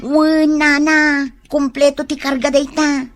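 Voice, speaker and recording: A woman's voice: high voice, little pitch movement, takes it slowly. A woman playing an envious, competitive housewife in a comedic radio drama, scornful and exclamatory, with an Ilocano accent. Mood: boastful, insistent. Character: envious competitive housewife sneering at her showy neighbour.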